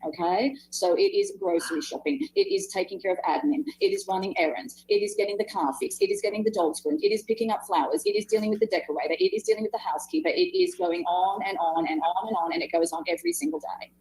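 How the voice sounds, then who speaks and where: A woman's voice: medium pitch, big pitch swings, fast, gravelly, hardly any pauses, warm tone, breathy edge, poor audio. An Australian woman testifying in court, curt and exasperated as she lists her duties. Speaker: trial witness.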